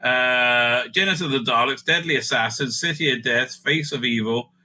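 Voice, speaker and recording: A man's voice: mid-range voice, normal speed, pushed and bright, a little hiss or hum. A man hosting a casual, off-the-cuff ranking video, rambling and interrupting himself as he thinks aloud. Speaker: video host ranking TV stories.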